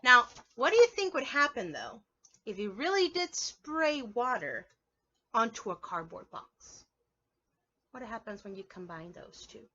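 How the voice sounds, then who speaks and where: A woman reads aloud as a preschool teacher, explaining as she goes and asking her young listeners questions. Her voice is highish and very bright, with an animated delivery. The recording is slightly dull and lo-fi. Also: unhurried, a little hiss or hum.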